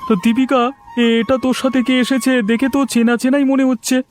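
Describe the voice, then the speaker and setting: A chatty village shopkeeper in a cartoon acted by a man, high-voiced and quick. He talks bluntly and familiarly, over faint music. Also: flat delivery, a little mellow. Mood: curious, friendly. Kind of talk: cartoon dialogue acting.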